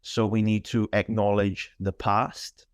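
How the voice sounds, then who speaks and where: A man's voice: on the low side, level tone, unhurried, smooth, no echo, studio quality. A man in his thirties from Brazil, a Portuguese speaker, chatting in an interview as a fitness instructor, thoughtful and full of fillers. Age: 30s. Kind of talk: casual interview chat.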